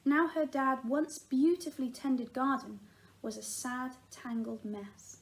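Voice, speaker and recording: A woman's voice: a little high, poor audio. A woman reading a children's book aloud as a teacher does at storytime, narrating expressively and acting out the dialogue. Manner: animated, acts out the dialogue.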